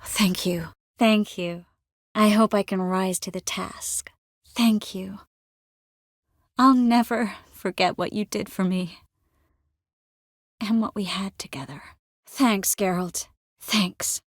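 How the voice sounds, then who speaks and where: Young video game sorceress, mid-pitched, earnest, caring, wry, composed, close dry sound. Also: female voice, slow, pauses often, no room sound. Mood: sincere. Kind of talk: game dialogue acting.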